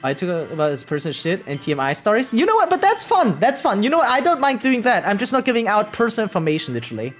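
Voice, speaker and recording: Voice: medium pitch, huge pitch swings, fast, few pauses. A young adult streamer talks casually with live chat in a mid-range voice over background music. The delivery rambles, doubling back on words, with loose swearing and a self-deprecating streak. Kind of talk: casual chat with viewers, reading out and answering comments.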